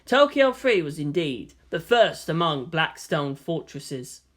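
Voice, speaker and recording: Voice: medium pitch, sing-song, slow pace, a bit bright. A narrator reading fan fiction aloud as scripted storytelling.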